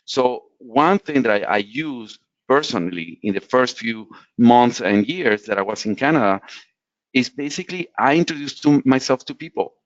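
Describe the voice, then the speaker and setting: A man's voice: medium pitch, lively intonation, moderate pace, dry recording, muffled recording. Latin American man giving career advice. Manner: earnest, explanatory, frequent restarts. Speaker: webinar speaker.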